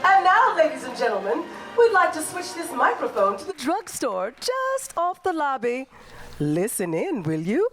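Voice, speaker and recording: Theatrical old-time hostess played by a woman, high swooping voice, unhurried, echoey over music. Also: wildly swooping pitch, a bit bright, full character voice. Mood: showy, inviting. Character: theatrical female radio hostess announcing the acts. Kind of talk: old-time radio announcing.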